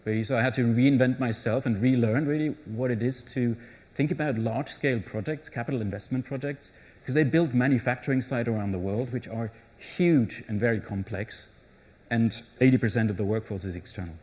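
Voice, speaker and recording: A man's voice: medium pitch, brisk, darkish tone. A middle-aged man giving a live conference talk, conversational, with frequent restarts and fillers. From Germany. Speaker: conference presenter. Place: conference session room.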